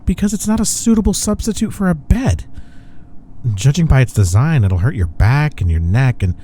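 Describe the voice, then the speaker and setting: Young male office rival for intimate audio drama, played by a man: dark mellow tone, lively intonation, smug playful banter. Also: mid-range voice, moderate pace, slight background noise, lightly acted. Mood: exasperated, teasing. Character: teasing, smug young male office rival softening toward his coworker. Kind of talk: intimate roleplay audio acting, one-sided conversation.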